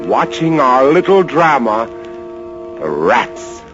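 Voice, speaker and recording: A haunted lighthouse keeper, slow dramatic storytelling, dull old radio sound with loud music behind. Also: male voice, medium pitch, big pitch swings. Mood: bitter, agitated, then grim, strained. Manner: dramatic, halting, suspenseful storytelling. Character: haunted lighthouse keeper, a man, recounting a rat siege. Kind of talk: 1940s-50s radio drama narration.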